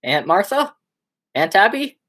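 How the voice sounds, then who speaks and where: A teenage woman playing an agitated, horrified young man who stammers and exclaims in a high school radio play. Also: sing-song, measured pace, a bit bright. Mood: urgent, grave.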